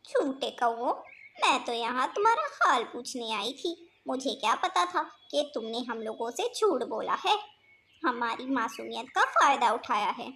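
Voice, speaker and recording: A woman voicing a sympathetic, fussing auntie bird in a cartoon, earnest and expressive. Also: a little high, unhurried, full character voice. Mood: scolding. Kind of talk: Hindi cartoon voice acting.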